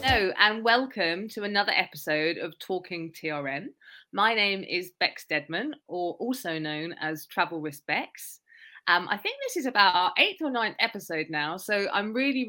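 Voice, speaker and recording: Bright-voiced chatty woman podcast host. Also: lowish voice. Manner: chatty, self-deprecating, many "um" fillers. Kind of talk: casual interview chat.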